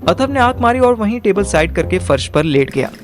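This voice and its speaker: A man's voice: high-pitched, big pitch swings, a little fast, a little hiss or hum. A young man narrating a first-person audio drama in colloquial Hindi mixed with English words. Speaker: narrator and lead character.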